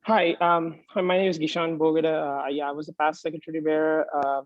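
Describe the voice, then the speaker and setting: A man's voice: on the high side, flat delivery, brisk, dry recording. A young man giving casual remarks as a former secretary over muffled video-call audio.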